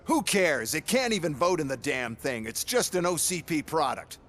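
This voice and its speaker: An actor playing a smug, flamboyant corporate executive in a game cutscene, sarcastic, showy and condescending. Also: male voice, on the high side, big pitch swings, moderate pace, a bit bright. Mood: dismissive, sarcastic. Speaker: executive and product presenter. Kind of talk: theatrical video game cutscene acting.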